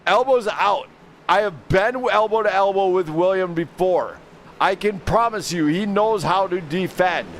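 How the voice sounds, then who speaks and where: A man calling a live sim race play-by-play, excitable and shouting driver names. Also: high voice, unhurried. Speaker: sim racing commentator. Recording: racing game engine noise behind. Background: car engine roar from the game.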